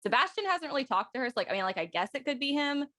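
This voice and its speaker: A woman's voice: high voice, sing-song, very fast, fairly bright tone. A woman, a voice actor on a panel, chatting casually and speculating. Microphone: close mic on a video call.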